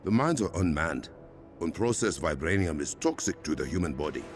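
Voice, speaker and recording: A man's voice: deep voice, lively intonation, fairly quick. An actor playing a grave, resolute young king with an African accent, speaking formally and with command in a dramatic video game. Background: cinematic game music.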